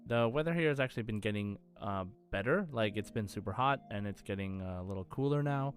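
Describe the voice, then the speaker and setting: A man's voice: fairly low pitch, expressive, fairly quick, soft background music. A man co-hosting a gaming podcast, talking in a casual, conversational way. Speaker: podcast co-host.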